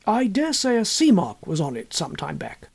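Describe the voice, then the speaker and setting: A man voicing a polite young university gentleman in a storybook, speaking in an educated, inquiring manner. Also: high voice, wildly swooping pitch, moderate pace, vocal fry, breathy edge, exaggerated voice. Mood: curious.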